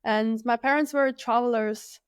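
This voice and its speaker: A woman in her early thirties from Switzerland, a freediver guest speaking in a relaxed, thoughtful way with many fillers. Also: a little high, unhurried, clear, resonant tone, no room sound, clean studio sound. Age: 30s. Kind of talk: casual conversational interview.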